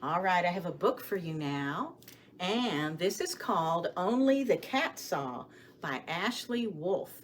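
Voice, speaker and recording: A woman hosting a story time for young children, speaking to them with questions and expressive emphasis as she shares rhymes and picture books. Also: lowish voice, slow pace, a little hiss or hum.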